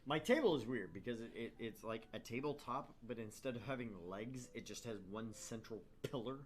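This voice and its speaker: A man's voice: mid-range voice, fairly quick, a little hiss or hum. A man co-hosting a gaming livestream with casual, jokey banter. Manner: jokey, playful. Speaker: livestream co-host.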